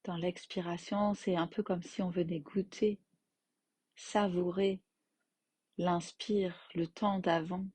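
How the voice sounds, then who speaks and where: A woman guiding a yoga nidra relaxation as its teacher, in gentle, unhurried phrases with long pauses. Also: lowish voice, flat delivery, husky, no room sound. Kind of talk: guided meditation, slow soothing instruction.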